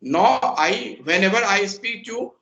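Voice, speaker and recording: Indian-accented man, high bright voice, earnest and polite, echoey room. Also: animated, measured pace, highs cut off. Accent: Indian English. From Bihar, India. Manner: earnest, polite, often says "sir". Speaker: interviewee, English learner. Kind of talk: casual conversational interview.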